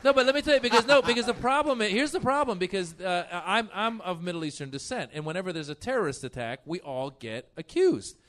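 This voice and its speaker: A male comedian with a very high voice for a man. He banters as a panelist and pushes his points insistently, in a conversational comic style. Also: lively intonation, quick pace, hardly any pauses, a bit bright. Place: live stage show.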